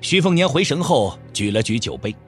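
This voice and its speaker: A man's voice: medium pitch, very animated, brisk, soft background music. A man narrating a novel as a storyteller in a dramatic, scripted audio drama style.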